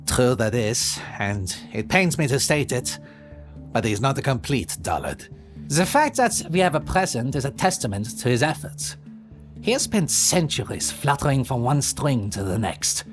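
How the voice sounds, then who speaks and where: A man's voice: medium pitch, big pitch swings, moderate pace, soft background music, lightly acted. An actor playing a weary, theatrical immortal man who talks in florid, sardonic turns in an audio roleplay. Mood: wry, weary. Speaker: lead character in an audio drama. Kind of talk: ASMR audio roleplay acting.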